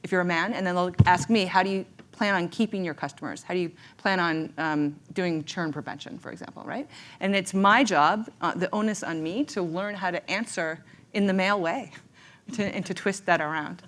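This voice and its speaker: A woman's voice: lowish voice, brisk, fairly bright tone. Self-assured woman startup founder on a panel. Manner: candid, self-assured, joking. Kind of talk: panel discussion.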